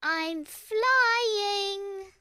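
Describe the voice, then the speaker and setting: A woman voicing a sweet, earnest young girl in a gentle children's bedtime story. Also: very high-pitched, very slow, no echo, studio quality, pitched above the performer's own voice, heavily acted. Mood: excited.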